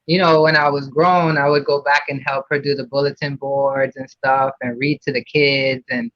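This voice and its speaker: A man's voice: medium pitch, level tone, moderate pace, no room sound. A man from Puerto Rico, a podcast guest talking casually about his childhood, with many fillers and restarts. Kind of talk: casual interview. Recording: dull lo-fi sound.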